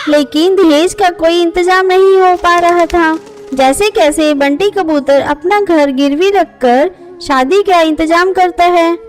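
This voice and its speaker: A woman narrating a scripted children's moral story as its storyteller. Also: very high voice.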